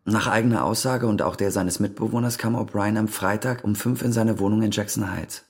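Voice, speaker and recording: An actor playing a dogged, suspicious police detective in a radio crime drama, dry and matter-of-fact, with a husky voice. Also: male voice, on the low side, steady tone, a little fast. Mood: businesslike.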